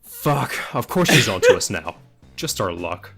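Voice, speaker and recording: A man's voice: on the low side, big pitch swings, a little slow, vocal fry, a bit bright, heavily acted. A man voicing a ruthless, scheming animal rebel in a cartoon parody, blunt and conspiratorial, with music behind him. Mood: grim urgency. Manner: blunt, conspiratorial, foul-mouthed.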